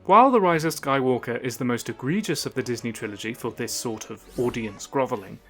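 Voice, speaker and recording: A man hosting a scripted video essay, narrating in a measured, analytical and argumentative way. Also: medium pitch.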